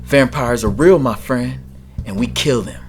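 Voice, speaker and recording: A man's voice: mid-range voice, measured pace, close to an everyday voice. A man playing a menacing vampire slayer in a radio play, speaking in a taunting, theatrical way. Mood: threatening. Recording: music bed under voice, amateur audio.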